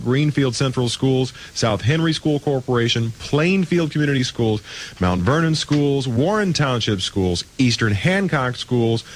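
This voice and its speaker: A man's voice: mid-range voice, poor audio. An American man hosting a morning radio show, chatting and reading announcements live in a breezy, joking, informal way. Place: radio studio. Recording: radio aircheck with music underneath. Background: music.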